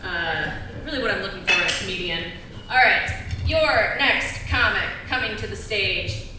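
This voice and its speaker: A woman hosting an open mic, introducing the next comic with animated build-up. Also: mid-range voice, normal speed. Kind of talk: emcee introduction. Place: small comedy club. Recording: echoey club, distant mic, loud noise.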